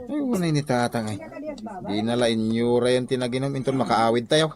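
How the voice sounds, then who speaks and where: A woman's voice: a bass voice, expressive, a little fast, crowd noise. A woman acting a cheeky, whiny grown child in a comedy radio drama, exclaiming playfully with a Filipino accent. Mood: teasing. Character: cheeky grown child teasing and giggling at mother.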